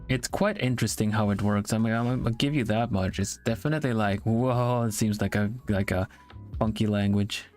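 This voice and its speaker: Halting man chatting, faint music. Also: on the low side, a little mellow. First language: Swedish. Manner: halting, repeats words while searching for them. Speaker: streamer. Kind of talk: casual chat on a live art stream.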